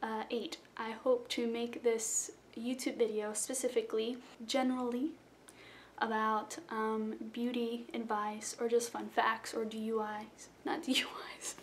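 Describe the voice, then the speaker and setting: A woman's voice: on the high side, level tone, a little slow, slight background noise. A young woman from South Florida talking casually to a webcam in her bedroom, rambling and self-deprecating. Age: young adult. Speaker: vlogger. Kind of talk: casual rambling intro vlog. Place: small bedroom indoors. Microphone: webcam at close range.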